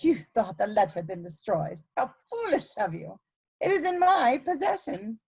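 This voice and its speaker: A woman acts out an elegant society schemer in a period stage drama. Her voice is high and swoops expressively, with deliberate, imperious phrasing that stays coolly ruthless. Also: very animated, gravelly, a little mellow, breathy edge, exaggerated voice. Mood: coolly menacing.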